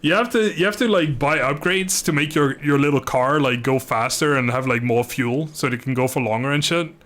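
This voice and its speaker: A man's voice: medium pitch, a little fast, a bit bright. A man giving casual, rambling, self-deprecating commentary as he livestreams a video game. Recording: music playing behind the voice.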